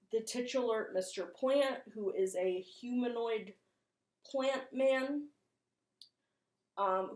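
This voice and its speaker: A woman giving casual video commentary as a host, chatty, rambling and self-deprecating. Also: mid-range voice. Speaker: host of a horror video channel. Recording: roomy, echoing room sound.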